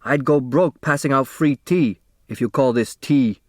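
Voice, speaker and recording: A man's voice: medium pitch, a little slow, dry recording, studio quality, pitched above the performer's own voice, natural voice. Man doing a grumbling, scornful restaurant owner. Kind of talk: audiobook character dialogue.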